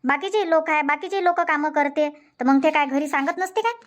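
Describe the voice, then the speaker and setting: A woman playing a high-pitched, nagging village housewife. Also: brisk, natural voice. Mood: aggrieved. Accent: Varhadi Marathi (Vidarbha). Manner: scolding, repetitive complaining. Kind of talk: Marathi comedy cartoon dubbing.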